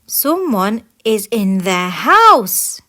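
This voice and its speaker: A woman voicing excitable little male dwarfs in a children's fairy tale, blunt and given to exclaiming. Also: mid-range voice, drawn-out words, exaggerated voice. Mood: alarmed.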